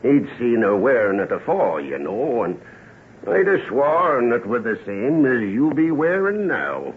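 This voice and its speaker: A tinny, faintly noisy old radio drama recording. a gossipy West Country villager, a man who spins dark tales slowly, with a very animated rise and fall. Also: mid-range voice. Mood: gossipy, sly. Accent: English West Country. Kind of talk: old-time radio drama acting, storytelling.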